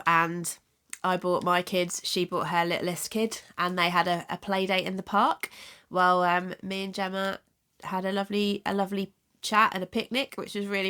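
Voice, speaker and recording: Stuffy hoarse lady vlogging about books, chatty and rambly, lots of restarts, sharp bright tone. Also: fairly low pitch. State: bunged up and a bit hoarse from a cold. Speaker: booktube channel host. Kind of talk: casual chatty book vlog.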